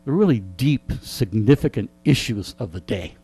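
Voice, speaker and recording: A man telling jokey anecdotes in conversation as a radio interviewee, with deadpan punchlines and repeated phrases for effect. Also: on the low side, moderate pace, breathy edge. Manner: jokey, deadpan storytelling with rhetorical repetition. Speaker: radio host being interviewed. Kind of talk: animated comic anecdotes in conversation.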